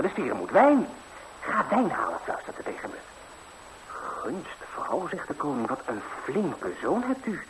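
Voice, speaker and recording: An actor playing a naive, chatty young lad, a fairy-tale hero who rambles hesitantly and artlessly in a 1960s radio drama. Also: male voice, on the high side. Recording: faintly noisy 1960s radio recording.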